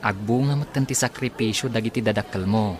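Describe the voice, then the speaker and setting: A man's voice: lowish voice, fairly quick, a crowd in the background, close to an everyday voice. An actor with an Ilocano Filipino accent playing an easygoing young working man in a radio drama. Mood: chatty.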